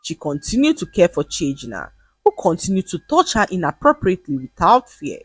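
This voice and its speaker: A woman storyteller delivering scripted, dramatic folktale narration in an expressive way. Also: fairly low pitch, slightly rough, no echo.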